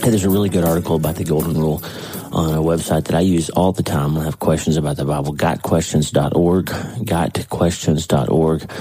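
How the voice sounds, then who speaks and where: A man hosting a podcast with a casual, conversational devotional talk, his voice congested and husky. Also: rumbling low, level tone, fairly quick, dark tone, soft background music. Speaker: podcast host.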